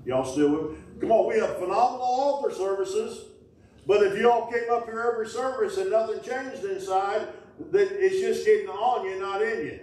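A middle-aged man preaches in a folksy, call-and-response way, now and then breaking into loud bursts. His voice sits a little high for a man. He is heard from far off in a small, echoing church. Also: moderate pace. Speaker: pastor. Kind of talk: sermon. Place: small wooden-walled church sanctuary. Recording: echoey church from a distance.

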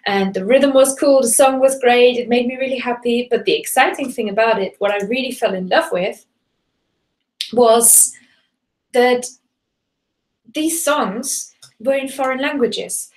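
A woman's voice: a little high, measured pace, pauses often, a little mellow. A woman from Germany, a native German speaker, teaching German in a chatty, enthusiastic live online class and greeting viewers from the chat. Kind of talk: live bilingual webinar.

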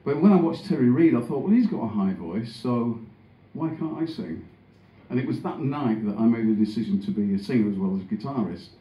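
A man's voice: mid-range voice, lively intonation, a little fast, highs cut off. An older man, a singer and guitarist, telling a story to the audience between songs on a small club stage. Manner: chatty, storytelling to the crowd. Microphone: stand mic, filmed from the audience. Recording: roomy club sound with band.

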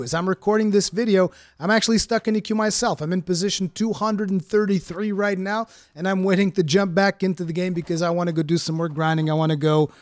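Man, from Portugal, American-sounding accent, slightly higher voice, dry close sound, chatty game commentary. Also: no room sound. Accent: American-sounding English. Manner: chatty, explanatory, frequent fillers. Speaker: gaming streamer. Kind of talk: casual game review commentary.

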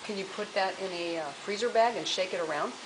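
A woman's voice: mid-range voice, fast, noisy background. Woman filming and narrating in a kitchen. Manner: curious, asks simple questions. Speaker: vlog host filming her mother. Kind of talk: casual narration while filming. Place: home kitchen. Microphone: handheld camera.

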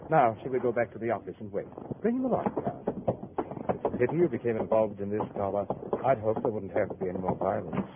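Menacing, polite crime boss, lowish rapid voice, 1950 radio play, narrow noisy sound. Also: male voice, expressive. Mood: mocking menace. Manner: polite, sardonic, commanding. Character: smooth, commanding male crime boss, coolly menacing. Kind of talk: 1950s radio acting.

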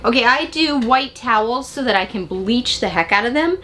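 A woman's voice: fairly high pitch, expressive, moderate pace, pushed and bright. An American woman in her forties chatting emphatically to camera as she gives practical tips in a casual vlog. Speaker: vlogger sharing RV living tips. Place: inside an RV living space and bedroom. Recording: clear background ambient noise.

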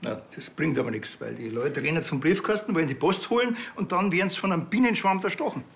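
A man's voice: on the high side, animated, fast, creaky, fairly bright tone, slightly rough, heavily acted. An actor with a Bavarian accent playing a grumpy village policeman in a crime comedy, dry and laconic. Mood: deflecting.

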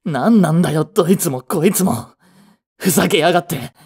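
A man's voice: on the high side, moderate pace, creaky, a little mellow, slightly rough. A man playing a frustrated young working boyfriend in a romantic audio drama, speaking in blunt, casual masculine language. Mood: exasperated. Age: young adult.

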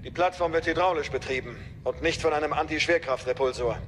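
An actor playing a dutiful, businesslike soldier delivering crisp military reports in a radio drama. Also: male voice, a little high, fast talker, fairly bright tone, slight background noise, natural voice. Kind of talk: German radio drama acting. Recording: long hall-like reverb.

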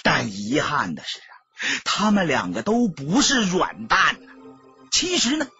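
Animated, husky male storyteller. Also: on the high side, unhurried, dry recording. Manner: colloquial, emphatic storyteller's delivery. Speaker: audiobook narrator. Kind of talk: dramatic storytelling narration. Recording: dull lo-fi audio, music behind.

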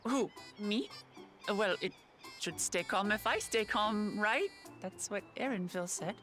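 A woman's voice: mid-range voice, measured pace, fairly bright tone, faint music behind, natural voice. A woman playing a plucky, candid young warrior princess in a video game, with an earnest way of speaking. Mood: nervous. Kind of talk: video game cutscene acting.

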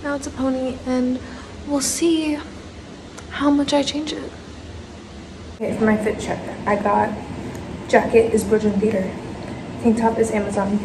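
A woman's voice: fairly high pitch, level tone, measured pace, pauses often. A young woman chatting casually and playfully to a handheld camera as she vlogs. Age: young adult. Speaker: vlogger. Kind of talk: casual vlog chat to camera. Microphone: handheld camera at arm's length. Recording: noisy handheld recording, bus and crowd chatter.

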